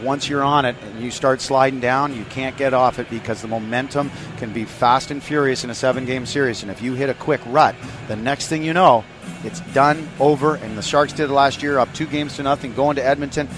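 A man giving radio sports commentary in an energetic, conversational way. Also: medium pitch, a little fast. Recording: music bed under voice.

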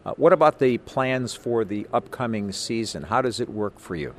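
A male sports host with a mid-pitched voice conducts a conversational interview. He is wordy and encouraging with his guest, and there is noticeable ambient noise behind him. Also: lively intonation, normal speed.

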